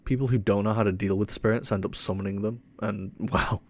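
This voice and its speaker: A man's voice: fairly low pitch, steady tone, a little fast, natural voice. A man playing a cocky, irreverent ghost hunter in an audio roleplay, with a teasing, offhand manner. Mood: sly, cocky. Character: cocky, irreverent male ghost hunter showing a rookie around. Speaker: paranormal investigator character.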